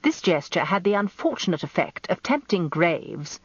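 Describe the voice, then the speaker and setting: A woman's voice: on the low side, big pitch swings, moderate pace, husky, dry recording, lo-fi sound. An older British woman narrating a scripted radio story in a wry, composed way. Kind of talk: scripted first-person narration with acted dialogue.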